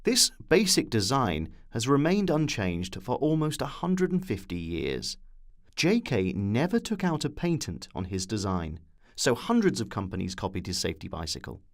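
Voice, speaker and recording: A man reads scripted documentary narration. His pitch moves up and down a great deal as he tells the story, with a wry streak in the delivery. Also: huge pitch swings, normal speed.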